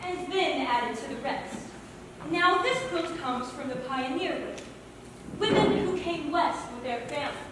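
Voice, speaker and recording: A woman's voice: high-pitched, expressive, measured pace. A woman on stage playing a strict sewing teacher at a boarding school, firmly instructing and correcting her pupils. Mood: instructive. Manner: firm, instructive. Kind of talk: stage play acting. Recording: echoey hall, distant mic, noisy.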